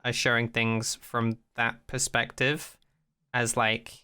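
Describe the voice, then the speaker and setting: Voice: mid-range voice, level tone, takes it slowly, bright, forceful tone, clean tone. A podcast co-host casually giving critical opinions on a TV show, swearing freely with some dry sarcasm.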